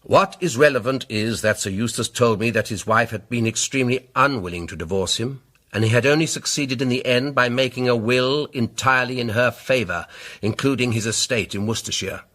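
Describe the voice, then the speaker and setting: An actor playing a pompous, self-assured older English gentleman lawyer in a radio drama, setting out his case with rhetorical deliberation. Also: mid-range voice, fairly quick, close to an everyday voice. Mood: grave, assertive.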